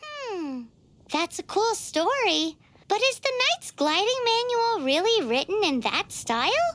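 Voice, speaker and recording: A woman voicing a small, childlike fairy companion, chirpy and grumbly, with a squeaky voice in an anime game. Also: sing-song, unhurried, fairly bright tone, full character voice. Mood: unimpressed. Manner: speaks of self in third person. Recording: music behind the voice.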